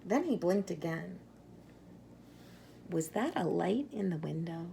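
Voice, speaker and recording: Female storyteller, slow and low, expressive children's read-aloud with dramatic pauses, faint background noise. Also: vocal fry. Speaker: storyteller reading a children's picture book.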